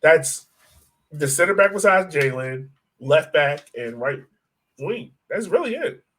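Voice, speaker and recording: A man with a fairly high-pitched voice hosts a sports podcast. He talks casually and loosely, feeling his way through his thoughts as he goes. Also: very animated, measured pace, fairly bright tone. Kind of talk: casual soccer discussion.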